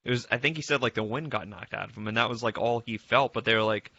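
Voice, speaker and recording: A man's voice: fairly low pitch, quick pace, gravelly, bright, forceful tone, slightly rough, dry recording. A man co-hosting a casual chat, telling stories about a friend in a joking, sometimes profane way with frequent laughs. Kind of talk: casual animated storytelling among friends. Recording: dull lo-fi audio, faint music.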